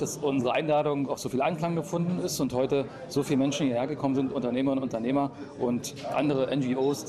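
A man speaks for a project in an unscripted interview. His delivery is quick and his pitch is medium. Crowd chatter is audible behind him. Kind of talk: spontaneous interview statement.

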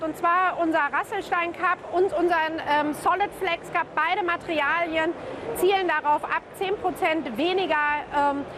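A woman presenting her company's product in an interview, with frequent hesitation fillers. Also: very high voice. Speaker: company representative. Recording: interview with clear background noise.

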